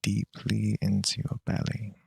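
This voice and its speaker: Calm guy doing guided meditation, lowish creaky voice, long pauses between phrases. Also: no room sound, clean studio sound.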